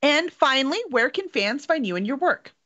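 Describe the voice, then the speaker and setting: A woman from Texas hosts a casual interview with a very animated, slightly high voice. The sound is close and dry, if a bit dull like call audio. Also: moderate pace, no echo. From Texas, United States. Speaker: interview host. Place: small room at home, video call.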